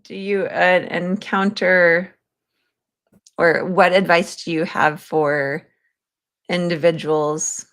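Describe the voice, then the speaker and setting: A woman's voice: on the low side, flat delivery, slow, long pauses, fairly bright tone. A woman hosting a podcast, interviewing her guests in a conversational way. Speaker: podcast host.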